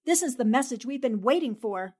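A self-possessed newspaperwoman from a 1940s radio play, acted by a woman. Her voice sits on the high side, and she talks in a brisk, businesslike way, fully in command. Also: expressive, darkish tone, slightly rough. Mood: urgent, businesslike. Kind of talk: 1940s radio drama acting.

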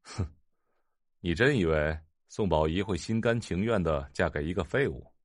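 A contemptuous, calculating heir, acted by a man in an audio drama. His voice is very low and closely recorded with a dry sound, and he sneers with cool, unhurried disdain. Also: dry recording, lightly acted. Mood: scornful. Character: cold, contemptuous, calculating rich husband and heir.

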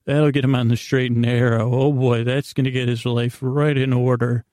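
A man hosting a bedtime podcast in a rambling, improvised monologue, halting and meandering with stammered restarts, self-deprecating and gently reassuring, in a gravelly voice. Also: medium pitch, level tone, dry recording, clean studio sound. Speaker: podcast host.

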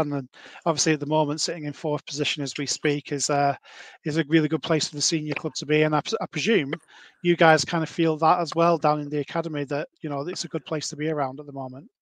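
A man's voice: a little high, dry recording. A man in his thirties hosting a casual podcast interview, asking long rambling questions with many fillers. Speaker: podcast host.

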